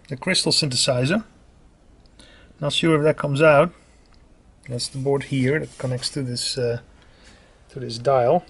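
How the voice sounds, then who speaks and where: Man giving a halting technical demonstration. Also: medium pitch. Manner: hesitant, halting explanatory delivery. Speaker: radio hobbyist presenter.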